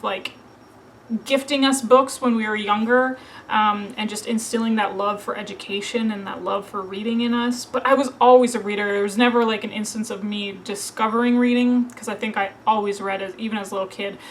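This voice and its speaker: A woman's voice: mid-range voice, fairly even intonation, moderate pace, a little hiss or hum, rough recording. A woman in her thirties from Minnesota, chatting casually to camera as a book vlogger, with lots of fillers and frequent laughs. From Minnesota, USA. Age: 30s.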